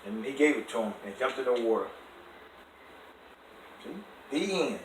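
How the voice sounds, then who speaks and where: A man reading a bedtime story to a child in an expressive way, pausing to ask about and explain words in simple terms. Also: mid-range voice, background noise. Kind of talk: animated read-aloud with explanations for a child. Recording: echoey room, distant microphone.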